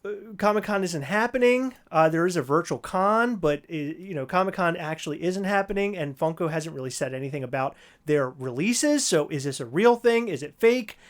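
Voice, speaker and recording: Podcast host, slightly hoarse, rambling, filler-heavy rant, casual livestream talk. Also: mid-range voice, animated, brisk, hardly any pauses. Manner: rambling, ranting with many fillers and restarts.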